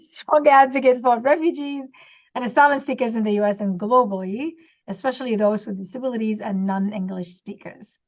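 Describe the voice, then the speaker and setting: Woman host, warm, asks questions with lots of uhs, muffled lo-fi audio. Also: fairly high pitch, fairly quick. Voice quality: warm and admiring, hesitant fillers. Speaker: interview host, occupational therapist. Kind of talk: conversational interview. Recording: dull lo-fi sound.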